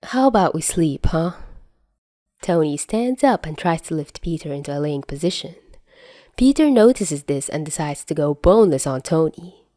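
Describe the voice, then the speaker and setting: A woman's voice: low-pitched, animated, moderate pace, mellow, dry recording, studio quality. A woman reading scripted fiction aloud as the narrator. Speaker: podfic narrator.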